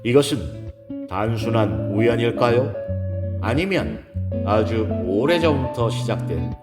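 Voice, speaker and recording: A man narrating a romance audio drama in a deliberate, literary, dramatic way. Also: medium pitch, animated, roomy sound, loud music behind the voice.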